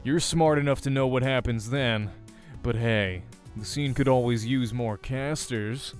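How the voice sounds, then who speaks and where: A man's voice: mid-range voice, measured pace, soft background music, lightly acted. A man voicing an arrogant, condescending young male rival gamer in a visual novel. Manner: condescending, smug.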